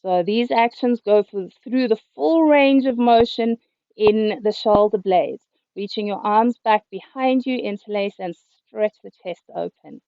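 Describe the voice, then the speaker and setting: A woman yoga teacher, a little hoarse, calmly cueing breath and movements through a class over a video call. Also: mid-range voice, a little slow, no echo. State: slightly hoarse. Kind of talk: guided yoga instruction. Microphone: computer mic on a video call. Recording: dull, lo-fi video call audio.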